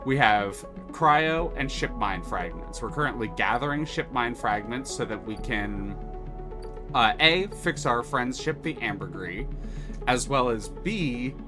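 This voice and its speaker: A man's voice: medium pitch, lively intonation, a little slow. A man streaming a game, chatting casually and explaining the mechanics with enthusiasm and many restarts. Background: music.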